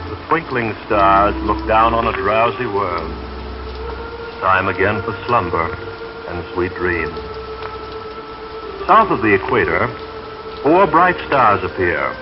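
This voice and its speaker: Slow, low-voiced man on dreamy vintage radio. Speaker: radio announcer and poetry reader. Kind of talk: slow dreamy late-night radio reading of verse and sponsor copy. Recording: dull vintage radio sound, music behind.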